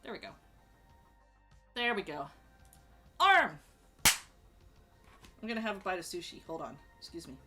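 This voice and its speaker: A woman chatting casually as she ranks characters, rambling with lots of fillers and restarts and sudden bursts of excitement. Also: fairly low pitch, measured pace, pauses often, faint music behind. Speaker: streamer ranking show characters.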